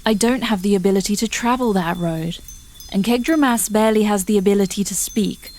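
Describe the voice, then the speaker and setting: A dutiful healer from a fantasy radio play, acted by a woman. Her voice sits at a medium pitch and she speaks with composed, practical earnestness. The recording has faint noise. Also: a little fast, darkish tone, close to an everyday voice. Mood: earnest, dutiful. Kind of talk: fantasy audio drama acting.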